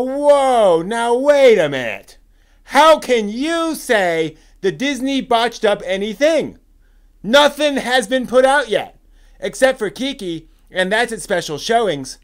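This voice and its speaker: An indignant anime fan acted out by a man argues back in a very high, loud voice. The delivery is emphatic and scolding, with clear, ringing tone, like a staged reading of an angry forum post. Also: full character voice. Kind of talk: dramatic reading of online posts.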